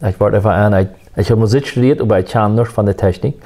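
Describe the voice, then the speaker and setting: Soft-spoken man with a low, mellow voice. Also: fast talker. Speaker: musician guest. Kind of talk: telling his life story.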